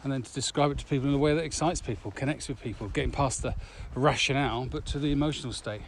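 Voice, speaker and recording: A man's voice: medium pitch, quick pace. A man co-hosting a podcast in casual, reflective discussion, recorded outdoors while walking. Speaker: podcast co-host, corporate trainer. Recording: outdoor recording with faint traffic noise.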